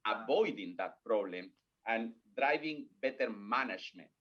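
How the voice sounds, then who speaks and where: A man's voice: lowish voice, lively intonation, moderate pace, highs cut off. A middle-aged man from Peru with a Peruvian Spanish accent, a native Spanish speaker, speaking earnestly as a guest in a podcast interview with small grammar slips. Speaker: guest, corporate learning executive.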